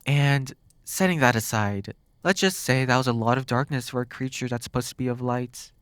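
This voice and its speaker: A man playing a flirty, sardonic demon captor in an intimate ASMR roleplay, teasing and curious, drawing words out with dramatic pauses. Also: mid-range voice, fairly bright tone, smooth, no echo, close to an everyday voice. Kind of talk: ASMR roleplay, intimate one-sided dialogue.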